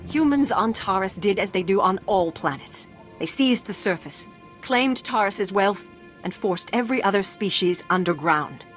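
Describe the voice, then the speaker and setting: A woman's voice: medium pitch, expressive, normal speed, faint music behind, close to an everyday voice. An actress playing a proud, aggrieved refugee leader in a video game, pleading formally and earnestly for her people. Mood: bitter, resolute. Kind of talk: dramatic scripted video game dialogue.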